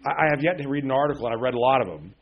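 Male pastor, mid-pitched voice, casual rambling sermon delivery with frequent restarts. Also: animated, rapid-fire, dull audio. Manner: rambling, many fillers and restarts, chuckles at himself. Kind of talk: conversational sermon. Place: church pulpit.

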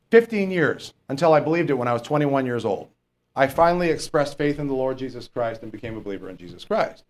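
A man with a lower voice, a pastor teaching verse by verse in a conversational way and reading scripture slowly and with emphasis. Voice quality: lower voice, not strong. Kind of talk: verse-by-verse Bible teaching sermon.

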